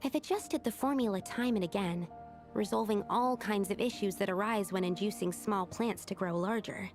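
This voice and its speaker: A studious young female alchemist, played by a woman in a video game. She speaks at a middling pitch, earnest and methodical, laying things out precisely. Faint music plays underneath. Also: normal speed, natural voice. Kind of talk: scripted video game voice acting.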